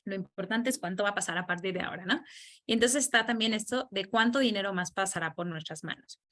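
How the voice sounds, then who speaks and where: A woman's voice: medium pitch, fast, pushed and bright. A woman with a Mexican accent giving an informal explanatory presentation as a financial advisor, conversational and often checking in with short tag questions. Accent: Mexican Spanish. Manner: conversational, frequent check-in tags asking for agreement.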